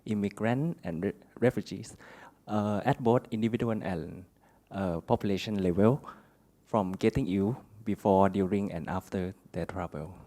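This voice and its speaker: A man from Thailand, a graduate student and doctor, introducing himself quietly with fillers. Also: fairly low pitch, moderate pace. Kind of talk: self-introduction.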